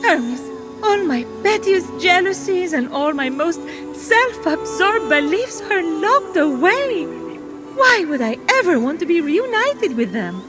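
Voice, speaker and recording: Game goddess of love: extremely high, slow, airy and theatrical, formal phrasing, music underneath. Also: female voice, sing-song, exaggerated voice. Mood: serene, polite. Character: goddess of love, gentle, serene, then dramatically distraught. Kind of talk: animated video game acting. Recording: music behind the voice.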